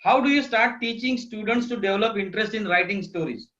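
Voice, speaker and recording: Male interview host, high-pitched and forceful, putting questions conversationally over muffled lo-fi audio. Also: brisk. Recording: dull, lo-fi online audio.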